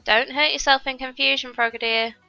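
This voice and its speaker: Woman, gaming commentary, crisp bright tone, slightly muffled lo-fi recording, faint music behind. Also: sits high, fairly even intonation, a little fast, no echo. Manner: chatty, explains each step to viewers. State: recovering from a cold. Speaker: gaming YouTuber. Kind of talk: casual gameplay commentary.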